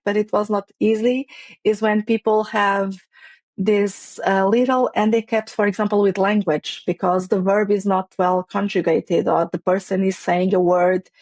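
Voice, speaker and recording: Woman, English, hesitant, restarts, mid pitch, dry sound. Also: darkish tone, dry recording. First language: Portuguese. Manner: hesitant, many restarts and fillers, non-native phrasing. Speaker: guest, playwright and facilitator. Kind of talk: conversational interview.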